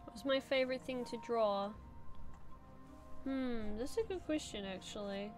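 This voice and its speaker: A woman's voice: a little high, a little slow, soft background music. A young adult woman chatting casually while she draws on a live stream, answering chat with many "like" and "um" fillers. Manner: rambling, full of "like" and "um" fillers. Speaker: streamer drawing and reading chat.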